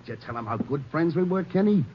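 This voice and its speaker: An American actor playing a desperate, bitter escaped killer in a 1950s radio drama, taunting in rough street slang. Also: male voice, fairly high pitch, expressive, quick pace, natural voice. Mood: bitter, menacing. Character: desperate, bitter escaped killer, gutter-raised tough guy. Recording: noisy, dull old radio recording.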